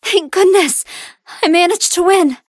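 Very high-pitched, earnest android heroine. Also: female voice, unhurried, dry recording, clean studio sound. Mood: gentle, polite. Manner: earnest, polite, emphatic delivery in short battle lines. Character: good-hearted female android fighter, earnest, polite, battling her hunger. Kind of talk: anime fighting game voice lines.

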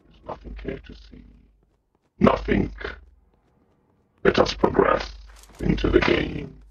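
Guttural, extremely deep croaking demon. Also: male voice, flat delivery, slow, full character voice. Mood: brooding. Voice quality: guttural, growling. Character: sinister male demon playing games, mock-menacing and slow. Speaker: gamer. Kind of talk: live gameplay commentary. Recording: muffled lo-fi audio, faint noise.

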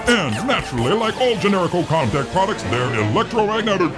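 An actor playing a slick, pompous corporate video announcer, selling weapons in a parody promo narration. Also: male voice, high-pitched, big pitch swings, quick pace. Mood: hard-sell. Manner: pompous, hard-sell. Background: music.

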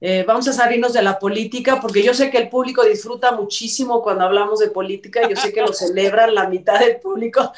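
A woman's voice: on the high side, fairly even intonation, fast, few pauses, crowd noise. A middle-aged Mexican woman hosting a conversational entertainment-news talk show over a video call. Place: indoor room, on a video call. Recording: roomy, echoey video-call sound.

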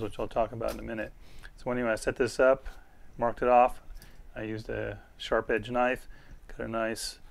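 A man giving an informal, hands-on workshop demonstration as an instructor, explaining in a halting way as he works. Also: mid-range voice, gravelly. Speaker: instructor, model glider expert. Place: small indoor hobby workshop.

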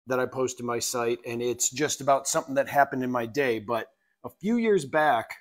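A man's voice: medium pitch, moderate pace. A middle-aged man chatting casually as he draws on a live stream, musing and muttering to himself. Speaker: webcomic artist live streaming. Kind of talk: casual chat while drawing. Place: small home room with full bookshelves.

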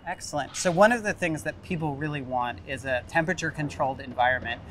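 A man's voice: on the high side, quick pace, fairly bright tone, a little hiss or hum. A man in his thirties, a library director, hosting an outdoor interview at a construction site with upbeat, friendly questions.

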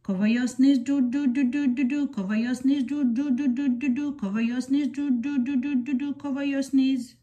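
A woman with a high, mellow voice reads a picture book for young children. Her delivery is a rhythmic, repetitive sing-song, closer to chanting than to plain reading. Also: steady tone, normal speed, few pauses. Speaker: children's book read-aloud narrator.

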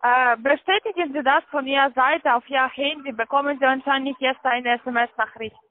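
A woman in German, giving insistent, halting instructions as a call-centre agent on the phone. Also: high voice, fairly even intonation, dry recording. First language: not German. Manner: insistent, halting non-native phrasing. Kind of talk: pushy scripted phone instructions. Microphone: telephone call. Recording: dull lo-fi phone line.

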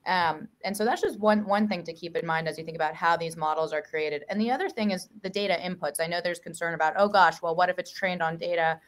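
Western American woman investor, 30s, lowish voice, upbeat video-call panelist. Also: quick pace, few pauses. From US West Coast. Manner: enthusiastic, uses fillers. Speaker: venture investor. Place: bright room.

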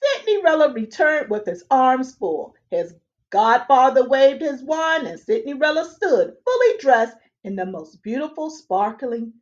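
Expressive woman reading a storybook aloud. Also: sits high, unhurried. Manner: expressive, animated. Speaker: read-aloud reader. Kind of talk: children's storybook read-aloud. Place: room at home, indoors. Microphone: webcam at a desk. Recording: dull lo-fi webcam audio.

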